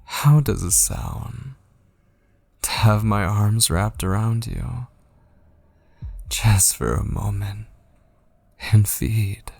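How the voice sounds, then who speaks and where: A man playing a possessive, obsessed kidnapper in an intimate ASMR roleplay, coaxing with menacing tenderness in a breathy voice that drifts toward whispers. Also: on the low side, darkish tone, dry recording, exaggerated voice. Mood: tenderly coaxing. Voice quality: breathy, husky. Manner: slow, coaxing, menacingly tender, lingering on phrases. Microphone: close studio microphone.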